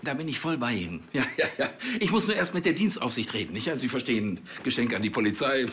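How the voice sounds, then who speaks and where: Pompous, animated police chief. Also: male voice, medium pitch, quick pace, breathy edge. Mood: flattered, jovial. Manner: pompous, punctuated with "ja?" and "nicht?". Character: self-important, jovial, blustering male police chief. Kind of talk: TV crime comedy acting.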